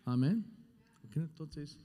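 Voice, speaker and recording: A man in his sixties, a native English speaker with a non-native accent, giving halting, unscripted testimony as a pastor. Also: on the high side, animated, warm tone. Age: 60s. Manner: halting, searching for words. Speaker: pastor on a question panel. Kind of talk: unscripted personal testimony and teaching. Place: large hall at a conference. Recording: reverberant hall sound.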